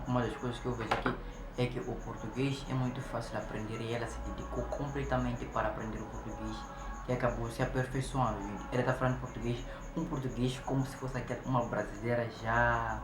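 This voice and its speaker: A man's voice: mid-range voice, fairly even intonation, fairly quick, music in the background. A man from Mozambique hosting a reaction video. He comments emphatically and repeats himself often, saying "gente" again and again. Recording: echoey room with background noise.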